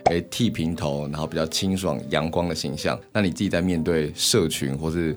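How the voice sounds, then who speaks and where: A man's voice: a bass voice, fairly even intonation, brisk, soft background music. A man co-hosting a radio show with casual banter and questions.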